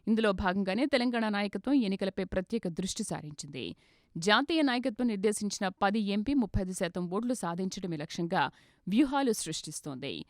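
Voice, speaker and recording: A woman's voice: medium pitch, animated, fairly quick, no room sound, clean studio sound. A woman reads a scripted news bulletin as a news reader in a formal manner.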